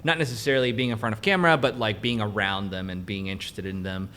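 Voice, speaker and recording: A young man, raised in Mexico with Spanish as his first language, talks in a low voice. He tells his story with animated energy and often quotes himself. A faint rumble hums behind him. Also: fast talker. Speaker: guest cinematographer. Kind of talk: casual interview chat.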